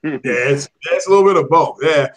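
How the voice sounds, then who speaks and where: A man's voice: fairly high pitch, a little fast. A man hosting a casual chat, laid-back and agreeable. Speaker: show host.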